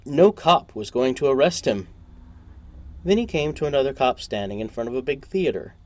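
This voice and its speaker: A man reading a short story as a narrator, in deliberate, measured phrases. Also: medium pitch, lively intonation.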